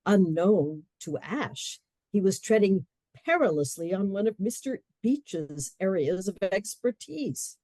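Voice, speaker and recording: A woman giving scripted narration for a radio play, measured and storytelling. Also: mid-range voice, animated, dark tone, dry recording.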